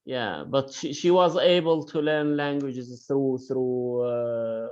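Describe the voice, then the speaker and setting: A man's voice: mid-range voice, takes it slowly, darkish tone, smooth, lo-fi sound. A man lecturing in an online class, hesitant and full of fillers as he encourages his students. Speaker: university lecturer.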